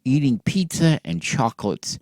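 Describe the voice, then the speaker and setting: A man telling a satirical bedtime story as if to children, in a theatrical, mock-earnest way with drawn-out words and hushed drops. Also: a little high, expressive, gravelly, no echo.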